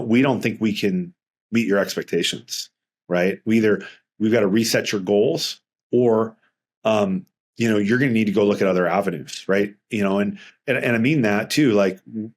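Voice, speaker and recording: A middle-aged man speaking as a financial advisor in a casual interview, earnest and rambling with frequent restarts. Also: fairly low pitch.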